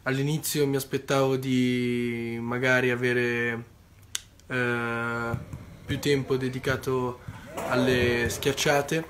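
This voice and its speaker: A man's voice: medium pitch, level tone, slow pace, a bit bright, slight background noise. A man speaking as a camp participant in a testimonial interview.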